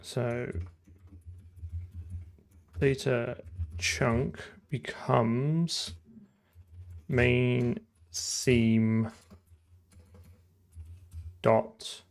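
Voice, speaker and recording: A man's voice: medium pitch, fairly even intonation, very slow, gravelly, long pauses. A man thinking aloud as he codes, muttering to himself in fragments over keyboard typing and clicking. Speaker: programmer streamer. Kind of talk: live coding commentary, thinking aloud.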